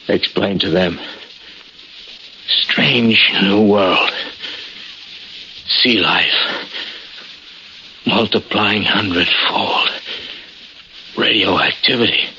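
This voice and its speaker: A man's voice: lowish voice, sharp tone, exaggerated voice. An actor playing a dying man, weak and laboured, urgently giving a warning in 1940s radio style. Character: dying man, urgent, gasping, prophetic warner. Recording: muffled old radio recording, faint noise.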